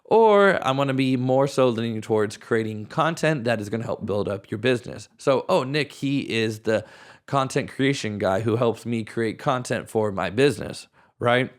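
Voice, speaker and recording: A man with a raspy voice, hoarse from a cold, hosting a podcast at home in a casual, rambling way. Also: mid-range voice, normal speed. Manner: casual, rambling, thinks aloud with restarts. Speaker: podcast host. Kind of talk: solo podcast talk.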